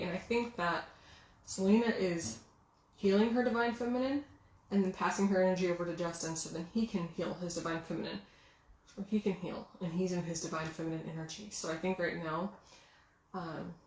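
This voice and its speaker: A woman giving a casual, excitable tarot reading, chatting and musing aloud with many fillers. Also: fairly low pitch. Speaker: tarot reader. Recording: roomy with faint background noise.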